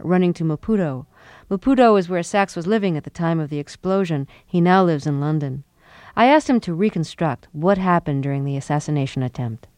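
Measured woman radio host. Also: on the low side, darkish tone, clean tone, no echo. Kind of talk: scripted radio introduction and interview questions.

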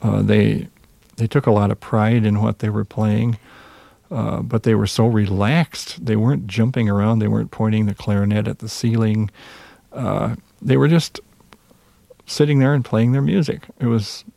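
Man, Minnesota accent, jazz musician guest, hesitant and reserved, deep gravelly voice, dry close sound. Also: fairly even intonation, warm tone, no room sound. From Minnesota, USA. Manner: hesitant, reserved, many pauses and fillers. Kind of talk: reflective interview answers.

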